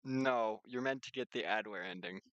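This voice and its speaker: A man's voice: on the low side, normal speed, fairly bright tone, no echo, muffled recording. A man streaming a visual novel, reading its narration aloud with casual commentary and sometimes stumbling over lines and rereading them. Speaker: video game streamer.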